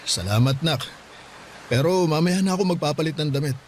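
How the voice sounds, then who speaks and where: A man playing a gentle hardworking father. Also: a little high, fairly quick, a little hiss or hum, lightly acted. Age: 40s. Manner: tender, reassuring. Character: hardworking widowed father, mid-forties, gentle. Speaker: voice actor. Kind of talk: radio drama acting.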